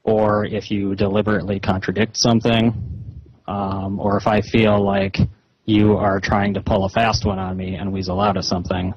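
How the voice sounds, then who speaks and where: A man speaking as a caller on a phone, in a measured, hesitant but insistent way, with slightly muffled sound. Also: lowish voice, level tone, slightly rough. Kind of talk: slow, halting phone conversation. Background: phone call recording.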